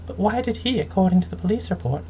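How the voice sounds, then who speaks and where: A woman reading a scripted first-person story aloud in a measured, solemn, dramatic way. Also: mid-range voice, animated, dark tone, a little hiss or hum. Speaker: game streamer reading aloud.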